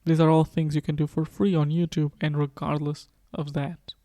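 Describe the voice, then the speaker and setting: Guy doing a game review voiceover, kinda soft mellow tone, matter of fact, really dry close mic. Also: fairly high pitch, level tone, moderate pace, no room sound. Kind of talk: scripted review narration.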